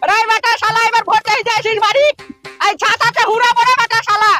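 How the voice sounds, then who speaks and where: A man's voice: very high voice, little pitch movement, fast. A man playing an aggrieved old village man in a comic skit, complaining with rhetorical questions in a rural West Bengal accent. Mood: indignant. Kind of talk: comic social skit acting. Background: faint music.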